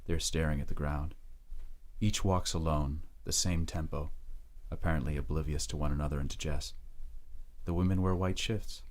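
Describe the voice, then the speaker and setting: A man reading scripted dramatic narration in a measured, deliberate way. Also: very deep voice, steady tone.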